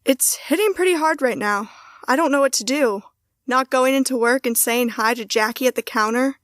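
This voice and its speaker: Actress as a high-voiced, earnest young wife. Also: female voice, moderate pace, fairly bright tone, no echo, studio quality. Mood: downcast. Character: young wife and mother, affectionate, downhearted but steady. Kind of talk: hobby audio drama acting.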